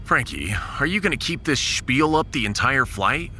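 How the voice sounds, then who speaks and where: A man's voice: mid-range voice, animated, moderate pace, pushed and bright, soft background music. A man playing a firm, decisive starship captain with a dry manner in a science fiction audiodrama. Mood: wry.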